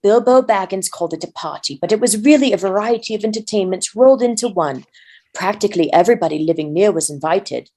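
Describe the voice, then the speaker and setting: Woman reading a story aloud, mellow warm voice, regular pitch. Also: expressive, quick pace. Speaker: volunteer narrator. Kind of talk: scripted storybook narration.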